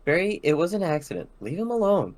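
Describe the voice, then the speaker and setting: A pleading, anxious young woman in an amateur script reading as a man acts her out. The intonation is lively and the pitch nudged up a little. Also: quick pace.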